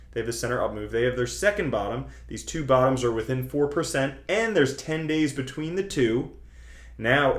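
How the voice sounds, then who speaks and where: A man hosting an online video, explaining things to viewers in a chatty, colloquial, emphatic way. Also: medium pitch. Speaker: host of a cryptocurrency trading video channel. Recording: roomy, echoing sound.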